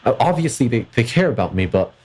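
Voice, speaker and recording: A man's voice: mid-range voice, very animated, quick pace, a little mellow, slightly rough. A young man from Canada in a personal vlog, reflecting thoughtfully and hesitantly, often restarting his sentences. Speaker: vlogger.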